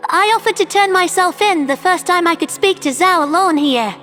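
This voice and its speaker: A man's voice: extremely high pitch, moderate pace, bright, forceful tone, soft background music, voice pushed up. A man voicing a dignified, contrite female avian alien leader with a formal, penitent manner. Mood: contrite, resolved.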